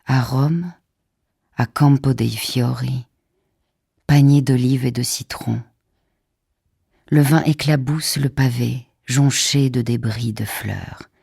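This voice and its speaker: A woman recites literary verse with solemn expression. Her delivery is very slow and measured, with deliberate pauses. The voice is husky and hushed, captured close in a dry radio studio. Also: extremely low pitch, steady tone, no room sound. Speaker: poetry reader. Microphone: close studio microphone.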